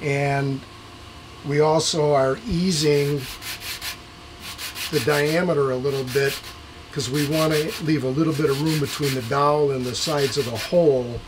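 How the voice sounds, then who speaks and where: A man's voice: fairly high pitch, fairly even intonation, a little slow. An older man casually explaining hands-on work in a plain-spoken, practical way. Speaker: woodworking demonstrator. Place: small home workshop shed with open door. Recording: clear background noise, workshop hum.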